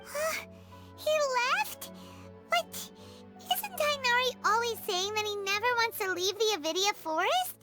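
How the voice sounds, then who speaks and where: A woman voicing a tiny, excitable childlike fairy companion girl in a video game, exclamatory and protective. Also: very high-pitched, unhurried. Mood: puzzled, curious. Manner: exclamatory, refers to self in third person. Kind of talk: video game character dialogue. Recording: loud music behind the voice.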